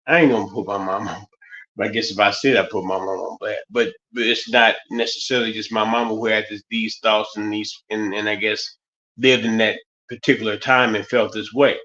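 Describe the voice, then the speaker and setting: A man's voice: on the low side, fairly even intonation, fairly quick, bright, forceful tone. A man in his fifties hosting a stream, talking in a loose, rambling way with lots of fillers and restarts. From United States. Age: 50s. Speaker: stream host. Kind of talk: casual monologue. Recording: slightly dull lo-fi stream audio.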